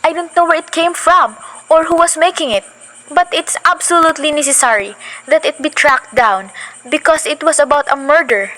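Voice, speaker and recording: A woman's voice: sits high, sharp tone, poor audio. A girl playing a fretful, demanding invalid wife. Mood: urgent. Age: young. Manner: fretful, impatient, talks fast when agitated. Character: anxious, demanding invalid housewife, adult woman. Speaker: student actor in a school radio play. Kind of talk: school radio play acting.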